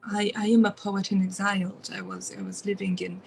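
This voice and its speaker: A woman's voice: mid-range voice, little pitch movement, brisk, vocal fry, slight background noise. A woman from Afghanistan moderating a panel discussion, speaking hesitantly with many fillers and restarts. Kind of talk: panel discussion, personal remarks.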